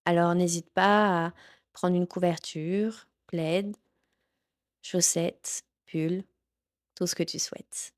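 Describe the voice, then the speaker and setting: A woman teaching yoga nidra, leading a guided relaxation in a gentle, soothing, instructional manner. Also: lowish voice, steady tone, slow. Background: background music.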